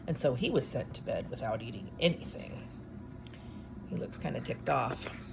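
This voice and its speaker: Woman storyteller, low register with creaky edges, expressive picture-book reading, offhand wry commentary. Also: moderate pace, music in the background, rough recording. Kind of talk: read-aloud storytime with casual asides.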